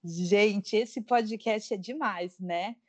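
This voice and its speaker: A woman's voice: medium pitch, extremely slow, a little mellow, dry recording. A woman co-hosting a podcast in a casual, conversational style. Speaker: podcast co-host.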